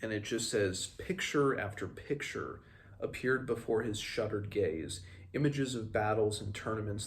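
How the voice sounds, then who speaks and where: A man talks through a book in a halting, thoughtful way, as a read-along host. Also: fairly low pitch. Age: 20s. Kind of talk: casual book commentary with passages read aloud. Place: small room at home, indoors.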